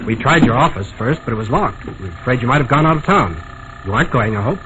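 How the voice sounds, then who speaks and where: An actor playing a polite, dapper chauffeur in a 1940s radio drama. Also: male voice, mid-range voice, expressive, quick pace, sharp tone. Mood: polite, urgent. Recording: muffled noisy old radio recording.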